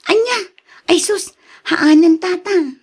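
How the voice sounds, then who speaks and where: A woman's voice: very high voice, slow. A woman acting an exasperated, sighing younger village woman in an Ilocano radio drama, with an Ilocano accent. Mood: reluctant, polite.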